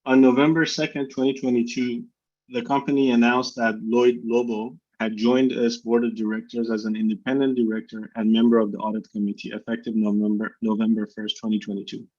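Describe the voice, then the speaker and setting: A man's voice: mid-range voice, flat delivery, fairly quick, darkish tone. A man hosting a video conference call as chief executive, formally reading prepared corporate remarks. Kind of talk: scripted corporate presentation on an earnings call. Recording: dull, lo-fi conference call audio.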